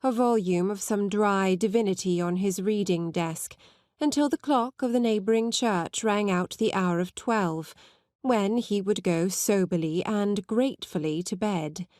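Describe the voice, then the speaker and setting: A woman's voice: mid-range voice, unhurried, darkish tone, dry recording. Woman narrating literature, close and dry. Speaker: audiobook narrator.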